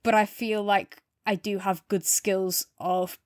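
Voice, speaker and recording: A woman's voice: medium pitch, flat delivery, takes it slowly, clean tone, dry recording, clean studio sound. A woman co-hosting a podcast, chatting casually and enthusiastically as she reviews. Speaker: podcast co-host reviewing audio dramas.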